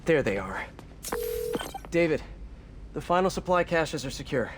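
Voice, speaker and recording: An actor playing a youthful, kind-hearted superhero in a video game, with an American accent and a casual, wry, friendly way of talking. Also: male voice, a little high, big pitch swings, unhurried. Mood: friendly, helpful. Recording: clear background noise.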